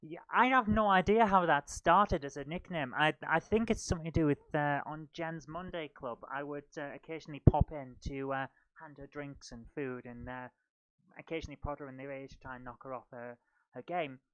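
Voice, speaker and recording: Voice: mid-range voice, fairly quick, fairly bright tone, smooth, dry recording, muffled recording. A stand-up comedian hosting a live show in a rambling, self-deprecating manner. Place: small book-lined room at home.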